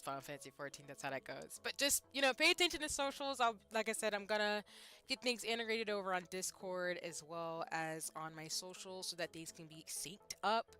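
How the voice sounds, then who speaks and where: A woman streamer chatting casually with her viewers, a little hoarse, upbeat and rambling with fillers. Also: mid-range voice, pushed and bright. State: slightly hoarse. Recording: faint music behind.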